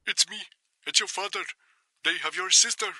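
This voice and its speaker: High, strained, frightened hostage father. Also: normal speed, sharp tone, dry recording, heavily acted. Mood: scared, strained. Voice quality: thin, strained.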